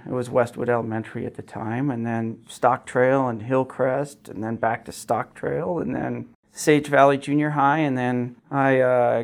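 A man in his forties from Wyoming, answering interview questions as a city council member in an earnest, modest, conversational way. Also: mid-range voice. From Wyoming, United States. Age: 40s.

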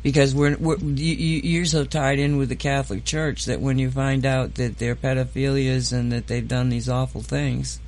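A male podcast host with a gravelly, creaky voice at a middle pitch. He rambles and hesitates, circling his point with fillers. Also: little pitch movement, moderate pace, few pauses, darkish tone. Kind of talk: casual podcast chat.

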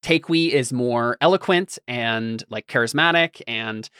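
A man's voice: medium pitch, measured pace, a bit bright, smooth, no room sound, clean studio sound. A man hosting a podcast in casual chat, explaining informally and often checking in with his listener. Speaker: podcast host.

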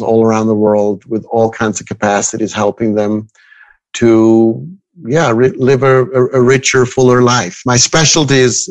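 A man in his fifties from Switzerland speaking as an expert guest in an interview, reflective and halting, repeating phrases for emphasis. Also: lowish voice. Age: 50s. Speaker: guest expert, astrologer and coach. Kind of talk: interview conversation.

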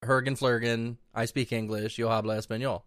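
A man's voice: medium pitch, little pitch movement, brisk, fairly bright tone, no room sound. A man co-hosting a podcast, reading out listener comments and adding dry wisecracks. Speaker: podcast co-host. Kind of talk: casual advice chat.

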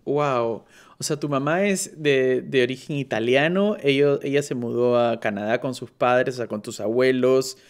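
A man interviewing a guest in a relaxed chat, hesitant and full of fillers and restarts. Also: medium pitch, normal speed, few pauses, clear, resonant tone. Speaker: interviewer and show moderator.

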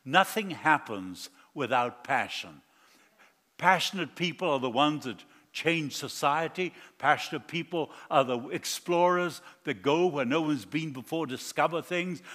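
A man's voice: on the high side, sharp tone, big-room sound. A man from Argentina preaching a sermon as a guest, mixing jokes and personal stories into his message. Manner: jokey, anecdotal.

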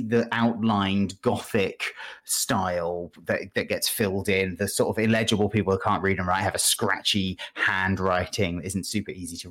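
A man hosting a podcast, explaining things casually in a rambling, halting way with asides. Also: fairly low pitch, a bit bright. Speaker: podcast host. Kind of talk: casual video game review chat.

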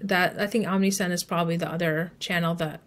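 A woman's voice: fairly low pitch, fairly even intonation, brisk. A woman speaking as an expert guest in a podcast interview, explaining advice conversationally. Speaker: email marketing expert guest.

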